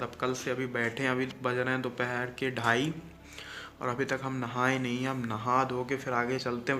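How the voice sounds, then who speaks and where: A man's voice: mid-range voice, fairly bright tone. Indian man vlogging in casual explainer style. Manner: explanatory, addresses viewers directly, mixes in English words. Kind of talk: casual explanatory vlog talk to viewers.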